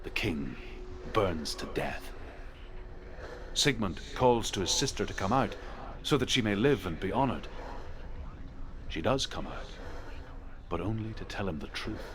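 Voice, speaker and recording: Low, solemn saga storyteller. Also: male voice, sing-song. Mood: solemn, grave. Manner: grave, measured, dramatic. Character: solemn male storyteller of old Norse legends. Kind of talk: scripted storytelling narration in a game. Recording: game audio with clear background noise.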